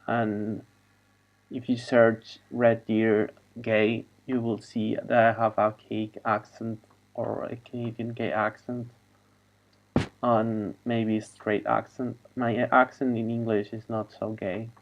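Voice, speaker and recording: A man whose first language is Spanish talks slowly in a flat, even voice. He rambles in a halting way and often trails off. The sound is dull and lo-fi, like audio from a video call. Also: fairly low pitch, vocal fry. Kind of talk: rambling monologue.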